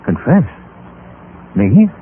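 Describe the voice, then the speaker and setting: An actor playing a guilty, nervous husband, flustered and remorseful, with agitated delivery in old-time radio drama style. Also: male voice, mid-range voice, big pitch swings, very slow. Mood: anxious, shocked. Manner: stammering, agitated. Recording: noisy, tinny old radio recording.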